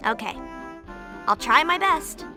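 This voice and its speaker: An actress playing a spunky, curious, sarcastic young girl in a video game, perky and expressive. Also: high voice, slow pace, music in the background, exaggerated voice. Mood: eager. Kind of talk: video game character acting.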